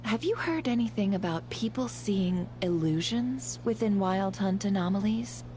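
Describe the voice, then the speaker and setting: A woman playing a composed, shrewd intelligence broker in a video game, measured and articulate. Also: medium pitch, animated, dark tone, close to an everyday voice. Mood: cool, businesslike. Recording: music under the voice.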